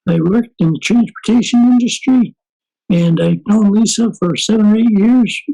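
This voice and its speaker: A man in his seventies speaking hesitantly, with pauses, in a group talk. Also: sits high, vocal fry, mellow, poor audio. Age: 70s. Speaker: group participant.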